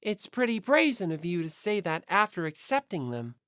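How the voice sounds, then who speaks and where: A woman voicing an aloof, skeptical teenage girl in an audiobook, with a deliberate, probing and detached delivery. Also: fairly low pitch, sing-song, a bit bright, clear, resonant tone, no room sound, studio quality. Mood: cool suspicion.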